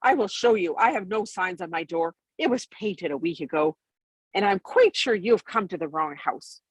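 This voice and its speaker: A woman's voice: lowish voice, animated, moderate pace, husky, no echo. A woman voicing a small male hobbit in a storybook, prim, formal and easily ruffled. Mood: indignant, dignified. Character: small, offended male hobbit standing on his dignity.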